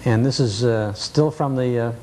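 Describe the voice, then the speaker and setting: A man's voice: medium pitch, moderate pace, warm tone, slight background noise. A middle-aged man hosting a show in a folksy, conversational, genial way. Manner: genial, appreciative. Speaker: show host.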